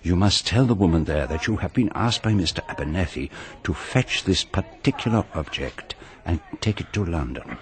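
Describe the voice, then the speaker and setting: Pompous male detective, lowish voice, animated intonation, precise, radio drama. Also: moderate pace. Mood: urgent, instructing. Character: vain, precise celebrated male private detective.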